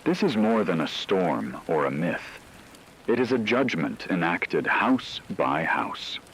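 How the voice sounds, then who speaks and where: Casting: man playing grave radio news host, low voice, measured and ominous horror-drama read, rainy noise underneath. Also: sing-song, fairly bright tone, heavily acted, low-quality recording. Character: grave male radio newscaster reporting supernatural terror.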